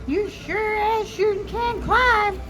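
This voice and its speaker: A woman's voice: takes it slowly, music in the background. A woman playing a small, sly red squirrel with a taunting, folksy manner in a storytelling puppet show. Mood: mock admiring. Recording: noisy outdoor live recording, traffic rumble.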